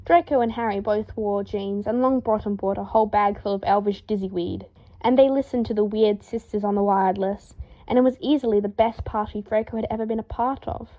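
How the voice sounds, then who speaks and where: A woman reading a story aloud in a lively, expressive way, acting out the dialogue. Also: medium pitch, fairly quick, lo-fi sound. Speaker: fan fiction reader.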